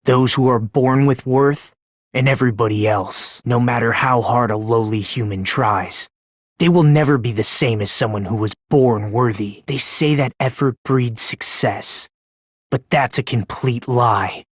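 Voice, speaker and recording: An actor voicing an eerily polite, self-deprecating young man obsessed with hope in an anime-style video game, with a breathy voice and a theatrical edge. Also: mid-range voice, unhurried, dry recording, clean studio sound. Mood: quiet, bitter, fervent.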